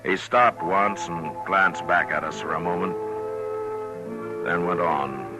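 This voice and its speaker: An actor playing a calm, weary frontier marshal, narrating and speaking in a measured way in a 1950s radio western. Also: male voice, sits low, over music. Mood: somber, reflective. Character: steady, weary frontier marshal telling his story. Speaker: lead and narrator.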